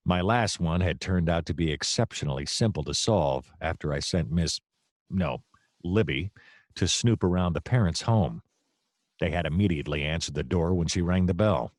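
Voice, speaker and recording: An actor playing a wry, easily distracted private detective, narrating in a long-winded deadpan comic noir style. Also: male voice, deep voice, moderate pace, dry recording, clean studio sound. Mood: grumbling, wry.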